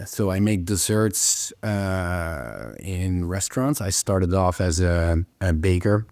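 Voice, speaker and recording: Deep-voiced man, halting speech. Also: darkish tone, dry recording. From Netherlands. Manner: halting, with frequent "uh"s and restarts. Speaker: guest, pastry chef. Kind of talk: casual interview chat.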